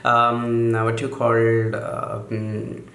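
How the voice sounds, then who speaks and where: A man calmly walking through makeup brushes in a casual vlog, softening his voice on purpose and often stopping to correct himself with fillers. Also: medium pitch, echoey room. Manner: halting, many fillers and self-corrections; deliberately softened, soothing delivery. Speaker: makeup vlogger. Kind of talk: casual product walkthrough.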